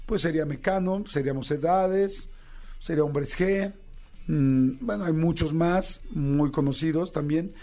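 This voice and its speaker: A Mexican man hosts a radio interview in a fairly high but mellow voice. He chats with plenty of fillers and asks with real curiosity. He sounds at ease in a studio. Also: a little slow. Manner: chatty, many fillers, curious. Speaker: radio host.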